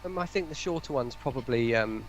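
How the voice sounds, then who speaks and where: A man chatting casually as a stream guest, in a halting, hesitant way. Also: medium pitch, animated, muffled recording, a little hiss or hum. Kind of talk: casual chat.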